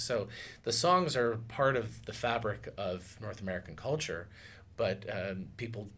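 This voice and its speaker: A man in his thirties speaking thoughtfully and a little haltingly as a musician in a casual radio interview. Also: fairly low pitch, a bit bright. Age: 30s. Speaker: musician, tribute duo singer. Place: small radio studio, indoors. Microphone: studio mic on boom arm.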